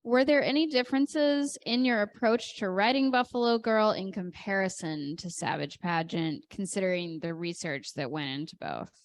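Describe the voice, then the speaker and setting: A woman's voice: mid-range voice, moderate pace, few pauses. A woman moderating a library author talk, reading audience questions in an easygoing, conversational way.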